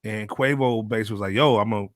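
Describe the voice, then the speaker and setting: A man's voice: lowish voice, rapid-fire, no echo, clean studio sound. A man with an American accent hosting a casual panel podcast in slangy, colloquial speech. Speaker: podcast host.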